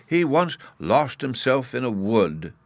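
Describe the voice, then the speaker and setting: A man voicing an excitable, absent-minded, kindly old professor in a storybook, with a flustered, pedantic manner. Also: mid-range voice, slow pace, no echo, voice pushed up.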